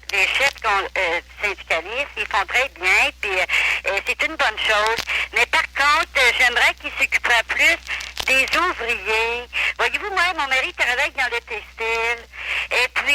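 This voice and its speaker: A woman with a Quebec French accent calling a radio show, polite, hesitant and halting. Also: on the high side, sharp tone. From Quebec, Canada. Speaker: phone-in caller. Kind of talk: spontaneous phone call. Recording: old telephone line on radio.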